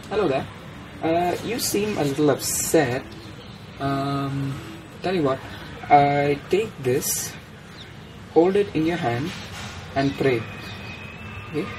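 A young performer voicing a gentle old man who coaxes hesitantly, with many fillers. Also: mid-range voice, pitched below the performer's own voice, close to an everyday voice. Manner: coaxing, hesitant, full of fillers. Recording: distant mic with clear background noise.

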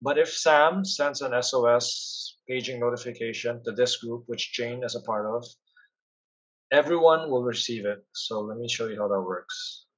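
A man presenting a software demo in an instructional, step-by-step explanatory style over a screen share. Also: medium pitch, normal speed, low-quality recording.

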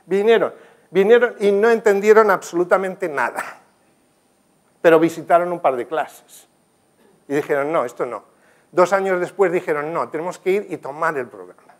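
An older man giving a talk with slides in a conference room, telling stories earnestly and gesturing as he speaks. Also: on the high side. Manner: earnest, storytelling, animated gestures, some restarts. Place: conference room with projector screen.

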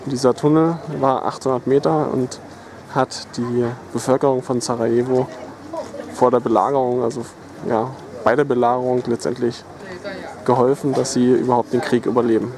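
A man giving casual, halting travel narration outdoors into a handheld camera. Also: mid-range voice, little pitch movement. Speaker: travel vlogger. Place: outdoors by streets. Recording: very noisy outdoor camera sound, traffic. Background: loud outdoor and car noise.

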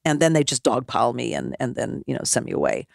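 A woman, a former mayor, telling her story as a podcast guest in an animated way, often acting out what people said. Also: a bass voice, quick pace, creaky, no room sound, studio quality. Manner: animated, acts out quoted dialogue. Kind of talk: storytelling conversation.

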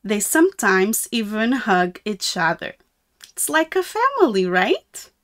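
A middle-aged woman reading a children's picture book aloud in a sing-song, deliberate way with exaggerated intonation. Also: mid-range voice, very animated, fairly bright tone. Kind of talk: children's read-aloud, slow and expressive.

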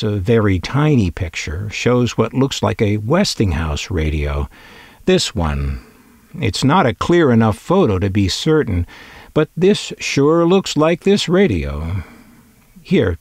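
A man's voice: lowish voice, darkish tone, no room sound. A man narrating a scripted collector's video in a wry, opinionated way with dry humor.

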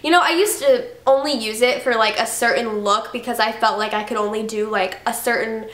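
Young woman, congested, slightly high voice, chatty and gushing, echoey bedroom. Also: fairly quick, a bit bright. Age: young adult. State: congested from a cold. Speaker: beauty vlogger. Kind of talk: casual product review. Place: bedroom, indoors.

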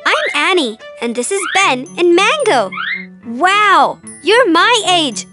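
A cheerful, confident little cartoon girl, with a very high voice. She speaks unhurriedly and swings expressively through her notes, encouraging and explaining over background music. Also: very animated, slow pace, heavily acted. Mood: upbeat, confident. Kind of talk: children's cartoon acting.

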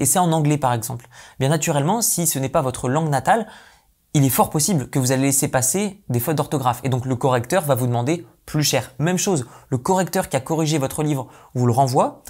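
A man in his thirties giving a brisk, explanatory tutorial to camera, seated indoors in a dim room at home. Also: fairly high pitch, darkish tone. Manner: brisk, explanatory, repeats "et donc de ce fait". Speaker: online business coach.

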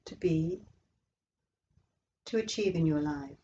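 A woman leading a guided yoga relaxation meditation in a slow, measured, soothing way with long pauses. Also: sits low, mellow, muffled recording. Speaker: yoga nidra instructor.

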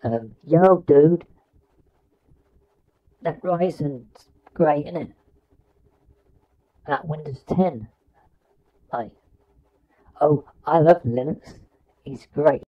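A drawling, husky geek dude, put on by a man through a wobbly tremolo effect. He speaks slowly, and the sound is dull with faint music. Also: a little high, voice pushed down. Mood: dopey enthusiasm. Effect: wobbly tremolo voice changer.

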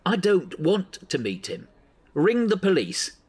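An actor playing an exasperated, bossy newspaper editor in an audio drama, blunt and scolding. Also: male voice, high-pitched, very animated, unhurried, darkish tone, breathy edge, exaggerated voice. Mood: stern.

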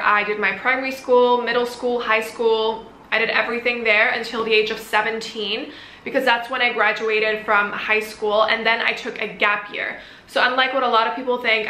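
A young woman in her twenties from Belgium with Dutch as her first language talks casually to the camera. Her voice is crisp and bright and sits a little high. The sound is a bit echoey, like a room at home. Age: 20s. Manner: chatty, animated hand gestures. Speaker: vlogger. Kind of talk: Q&A vlog, casual chat to camera.

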